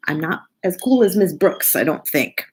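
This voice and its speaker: A woman reading a picture book aloud to children in an expressive, deliberate way, as a children's librarian. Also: on the low side, husky. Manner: animated, drawn-out, deliberate delivery.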